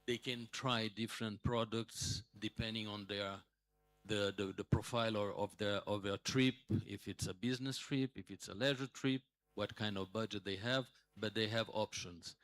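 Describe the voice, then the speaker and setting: A man in his sixties giving a conference talk as a hotel manager, pausing often with fillers and restarts. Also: on the low side, fairly even intonation, fairly bright tone, no room sound. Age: 60s. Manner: hesitant, many fillers and restarts.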